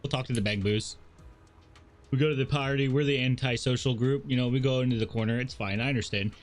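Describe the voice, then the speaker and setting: A man's voice: mid-range voice, fairly even intonation, fast. A man livestreaming a game, commenting casually and reactively and reading out the narration in an informal way.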